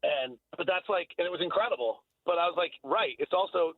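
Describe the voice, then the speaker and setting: A man's voice: sits high, brisk, no room sound. American guy calling into sports radio, effusive rambly chat, tinny dry phone sound. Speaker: sports broadcaster, radio guest. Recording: thin, phone-like line.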